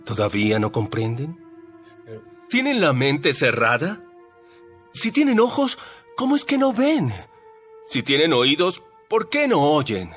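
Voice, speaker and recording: A man's voice: on the high side, wildly swooping pitch, slow pace, pauses often, fairly bright tone, heavily acted. An actor playing Jesus, an earnest teacher speaking emphatically to his followers in a dramatized audio Bible. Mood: reproachful. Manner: emphatic, questioning. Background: background music.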